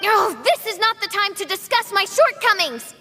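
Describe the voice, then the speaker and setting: A high, bright, grandiose anime princess. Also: female voice, animated, moderate pace, faint music behind, exaggerated voice. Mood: indignant, shaken. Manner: theatrical, grandiose, archaic formal speech. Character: theatrical young girl princess facing her cruel dark double. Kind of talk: anime game voice acting.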